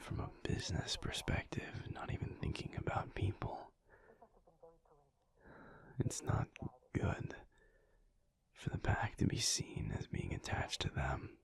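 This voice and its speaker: A man playing a weary, troubled alpha werewolf pack leader who confides in a hushed, halting, intimate audio roleplay monologue. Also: low voice, heavily acted. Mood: troubled, pensive. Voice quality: hushed, breathy whisper. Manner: slow, halting, pensive.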